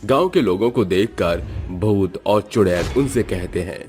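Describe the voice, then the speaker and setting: Low-voiced man narrating stories. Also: lively intonation, unhurried, a little mellow. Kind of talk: scripted storybook narration.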